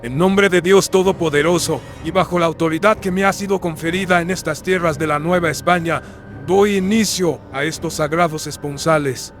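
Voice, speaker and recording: A man playing a somber, fatherly friar in a horror radio drama, speaking with measured, ceremonious gravity through voice processing. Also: a little high, faint music behind, pitched above the performer's own voice. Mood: solemn, ceremonious. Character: solemn, somber colonial friar, fatherly and resigned. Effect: processed voice filter.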